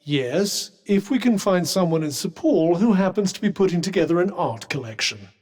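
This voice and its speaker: A man's voice: high-pitched, lively intonation, normal speed, darkish tone. A man with a British accent voicing a haughty, erudite magical cuff in a fantasy video game, with polished diction and dry sarcasm. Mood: wry, composed. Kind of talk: scripted game companion dialogue.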